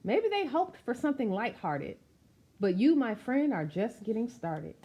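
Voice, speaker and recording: A woman reads a picture book aloud for children in a soft-edged, mellow voice. Her pitch moves in lively swings, and she speaks straight to her young listeners in an expressive way. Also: unhurried, husky, dull audio. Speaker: storytime reader. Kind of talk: read-aloud of a rhyming children's picture book with chatty asides.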